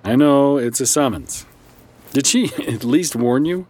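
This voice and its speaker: A man's voice: medium pitch, animated, measured pace, darkish tone. A man playing a wry, chatty therapist in an audio drama, talking in a hesitant, rambling, sardonic way. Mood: wry annoyance. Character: wry, chatty, flustered male therapist who brawls apologetically. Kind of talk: naturalistic audio drama acting.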